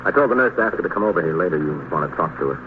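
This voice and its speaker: A man's voice: sits low, lively intonation, very fast. An actor playing a deferential police detective reporting to his superior, dutiful and clipped, in 1950s radio drama style. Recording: tinny, noisy vintage radio recording.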